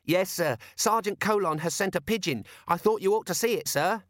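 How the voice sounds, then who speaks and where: A polite, earnest young dwarf corporal, voiced by a man, eager to report to a superior. The voice sits noticeably above the reader's own, and the dry, close reading keeps it clear. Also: high voice, moderate pace, dry recording, voice pushed up, natural voice.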